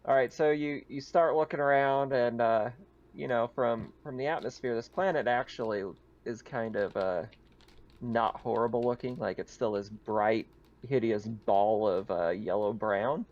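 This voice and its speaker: A man narrating a tabletop roleplaying game as game master in a casual, halting way, piecing the scene together as he goes. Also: medium pitch, darkish tone, dull audio.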